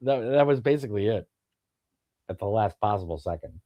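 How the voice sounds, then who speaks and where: A man's voice: fairly low pitch, animated, fairly quick, mellow, dry recording. A man with a slight lisp, chatting hesitantly about football and laughing often. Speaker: podcast co-host. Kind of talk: casual football chat.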